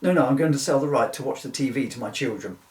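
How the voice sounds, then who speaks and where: A man's voice: medium pitch, fast, darkish tone, close to an everyday voice. A man playing a dim, literal-minded rodeo showman in a comic reading, matter-of-fact and pedantic about absurd things. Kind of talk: comic two-hander read from a book. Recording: distant, echoey room recording.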